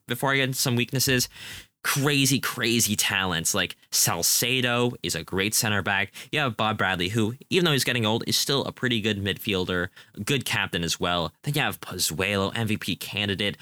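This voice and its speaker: A young man with a very low pitch and a bright, forceful tone leads a casual soccer preview. He talks enthusiastically, rambling and restarting his sentences. The sound is dry and close. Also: no room sound. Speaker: podcast co-host.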